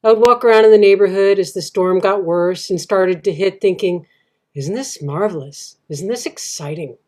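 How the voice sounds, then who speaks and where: A woman's voice: mid-range voice, normal speed, darkish tone, lightly acted. A woman reading the monologue of a kayaker, telling it with light self-mockery. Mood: wry. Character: kayaker thrilled by the storm. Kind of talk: readers theatre monologue.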